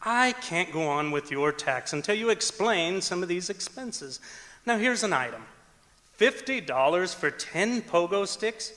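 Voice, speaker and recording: A man's voice: sits high, expressive, unhurried, pushed and bright, exaggerated voice. A man playing an exasperated, harried tax preparer in an old-time radio comedy performed on stage. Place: performing arts center auditorium, live stage. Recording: echoey auditorium stage hall.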